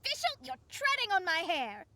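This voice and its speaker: A woman's voice: very high voice, huge pitch swings, unhurried, gravelly, bright, forceful tone, a little hiss or hum, exaggerated voice. A woman voicing a proud, easily exasperated young female astrologer in a video game. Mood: irritated. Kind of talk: video game character acting. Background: music.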